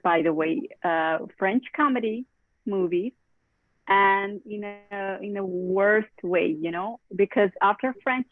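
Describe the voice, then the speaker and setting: A woman's voice: mid-range voice, no echo, phone-quality sound. Chatty woman on a thin online call. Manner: chatty, quick to laugh, draws others in. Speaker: conversation club leader. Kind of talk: casual group chat in English.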